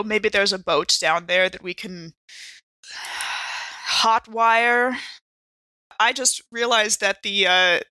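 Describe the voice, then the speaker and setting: A woman's voice: mid-range voice, sharp tone, no echo. A woman player improvising casually in and out of character, with a wry, playful manner. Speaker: player in actual-play podcast.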